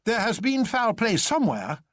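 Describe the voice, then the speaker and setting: Audiobook role, man performing: indignant male doctor, emphatic, formal, raised voice, lively swings, close and dry. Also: extremely high pitch, normal speed, no echo, pitched above the performer's own voice, full character voice. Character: indignant, agitated male doctor acting as duelling second. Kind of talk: dramatised audiobook character voice.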